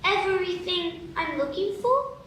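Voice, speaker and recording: Woman doing a prim curious little girl, super high voice, echoey room and far from the mic. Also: animated, a little slow, fairly bright tone, a little hiss or hum, exaggerated voice. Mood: puzzled. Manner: wondering, earnest and prim. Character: curious, polite young girl lost in a strange land. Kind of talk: live radio play acting. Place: live stage.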